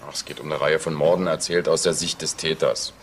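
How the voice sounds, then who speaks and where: Low-voiced sober investigator. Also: male voice, steady tone, brisk, noisy background. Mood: matter-of-fact. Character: sober, matter-of-fact male police investigator. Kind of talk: German TV crime drama acting.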